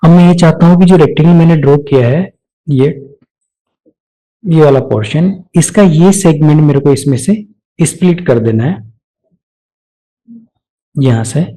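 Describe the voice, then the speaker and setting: A man giving a step-by-step software tutorial off the cuff, mixing English technical terms into his speech. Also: a little high, moderate pace, long pauses, rough recording. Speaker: software instructor.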